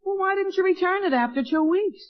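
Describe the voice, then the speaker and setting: A man's voice: extremely high pitch, expressive, brisk. An actor playing a meddling, whiny teenage boy sidekick in a 1940s radio comedy. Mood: nosy. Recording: muffled vintage radio recording.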